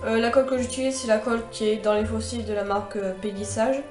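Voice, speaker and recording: Young woman, stuffy nasal cold voice, slightly high, chatty makeup tutorial, echoey room, faint music. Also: fairly even intonation, fast, darkish tone. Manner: chatty, meandering, many fillers and restarts. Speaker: beauty vlogger.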